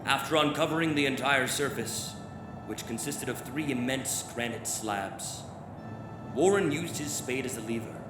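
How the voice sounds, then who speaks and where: A man's voice: mid-range voice, normal speed, close to an everyday voice. A man playing an anguished occult scholar in a theatrical old-time radio drama, speaking in formal, grave, emphatic phrases. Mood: haunted, fearful. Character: anguished, haunted male occult scholar giving a statement. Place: theater stage, live performance. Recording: roomy live stage, music underneath. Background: clear music.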